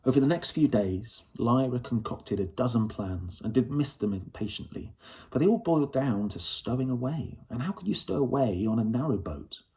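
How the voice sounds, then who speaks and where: A man narrates a novel as an audiobook reader. His voice sits at an average male pitch, with a warm, relaxed, mellow tone. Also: normal speed. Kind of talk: storybook narration.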